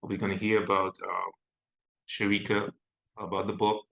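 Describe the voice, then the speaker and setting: Male talk show host with a very low, gravelly voice; formal introductions, quick interjections. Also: level tone, a bit bright, rough recording. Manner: formal welcome, frequent short interjections. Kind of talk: interview hosting.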